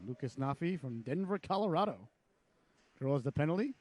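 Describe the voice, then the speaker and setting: Man, hockey play-by-play announcer, raspy with a cold, slightly high voice, chatty. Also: lively intonation, a little mellow, no room sound. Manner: chatty asides between rapid calls. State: hoarse from a cold. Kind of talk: live hockey play-by-play commentary.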